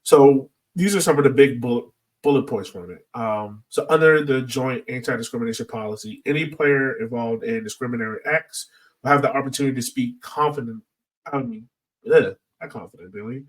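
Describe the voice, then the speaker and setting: A man's voice: mid-range voice, a little fast, recorded from a distance, rough recording. A man hosting a podcast in a casual, informal discussion style. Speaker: podcast host.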